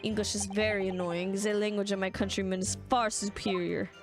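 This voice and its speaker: A woman's voice: mid-range voice, little pitch movement, a little fast, pitched below the performer's own voice, natural voice. Spoiled boy royal, fake Central European accent, prim and petulant; a woman puts him on, game music under. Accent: put-on Central European accent. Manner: stiff, formal, petulant. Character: haughty young boy prince, whiny and proud.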